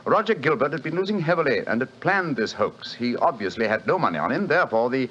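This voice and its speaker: A brisk detective, crisp and lightly ironic, with a lively, animated delivery. It is heard on a dull, muffled old radio broadcast. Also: male voice, medium pitch, a little hiss or hum. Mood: assured. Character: brisk, shrewd British detective, sharp and assured. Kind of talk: 1940s radio acting.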